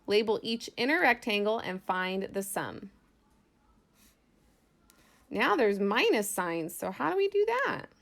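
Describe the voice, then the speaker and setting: A woman's voice: on the high side, a bit bright. A woman math teacher giving an informal lesson, thinking aloud as she explains worked examples step by step in a casual way.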